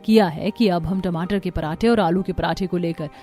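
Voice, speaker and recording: A woman's voice: lowish voice, moderate pace, dark tone, soft background music, close to an everyday voice. A woman voicing a young daughter-in-law in a cartoon family story, with an emphatic, earnest delivery. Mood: reassuring.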